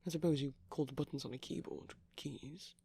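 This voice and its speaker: Gentle, bashful vampire boyfriend voiced by a man for intimate ASMR audio; breathy, creaky, halting, half-whispered delivery. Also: a little high, steady tone. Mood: musing, absorbed. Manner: hesitant, soft-spoken, often slipping into whispers. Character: shy, gentle pastel vampire boyfriend, soft and sweet. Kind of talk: ASMR roleplay, soft intimate rambling.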